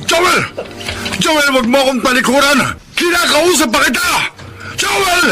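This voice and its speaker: A shouting stern parent. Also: male voice, very high voice, measured pace. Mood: angry. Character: stern parent confronting a rebellious son. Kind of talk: radio drama acting. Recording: loud music underneath.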